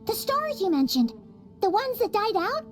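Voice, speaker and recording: A woman voicing a small, cheerful, childlike fairy companion in a video game, with a squeaky, excitable delivery. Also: moderate pace. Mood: curious, chatty. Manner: talks about herself in third person, excitable. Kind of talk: video game cutscene voice acting. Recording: background music behind the voice.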